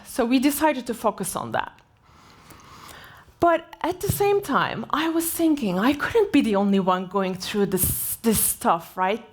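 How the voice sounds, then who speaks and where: A woman in her forties from Turkey gives a conference keynote as a personal story, speaking haltingly with many fillers and restarts. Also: fairly high pitch. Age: 40s. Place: conference stage.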